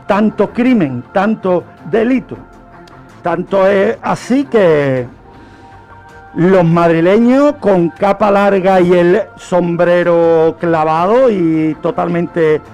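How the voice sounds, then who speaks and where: A man with a Spanish accent from Spain speaks in a high voice over faint music. He takes his time, explaining history like a teacher on the radio and putting questions that he then answers himself. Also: a little mellow. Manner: didactic, poses questions and answers them. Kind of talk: unscripted radio history storytelling.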